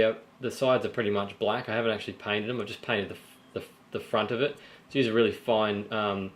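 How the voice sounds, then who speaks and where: A man with a slightly croaky voice, casually showing his painted miniatures and explaining each step with plenty of ums and restarts. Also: on the low side. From Australia. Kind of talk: casual show-and-tell vlog.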